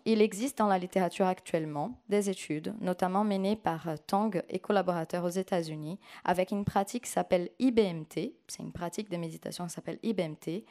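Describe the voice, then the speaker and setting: A woman with a mellow, warm voice, answering an audience question after her talk. She explains her research in a measured, orderly academic way. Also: on the low side. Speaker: conference speaker answering questions.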